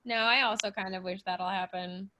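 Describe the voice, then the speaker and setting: A woman's voice: medium pitch, fairly even intonation, normal speed, bright, forceful tone, slight background noise. A woman performer on a podcast, talking in casual, conversational chat.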